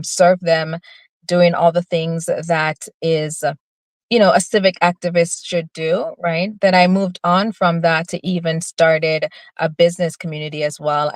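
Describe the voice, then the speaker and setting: A woman's voice: lowish voice, no echo. A woman in her forties from Jamaica, answering interview questions as a political candidate in an earnest, composed way.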